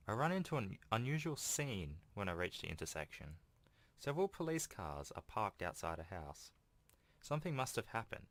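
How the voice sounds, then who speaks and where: A man's voice: deep voice, fairly bright tone, dry recording. A young man doing casual let's-play commentary and reading game text aloud. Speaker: gaming YouTuber doing a playthrough. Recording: home recording with game music behind. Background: video game music.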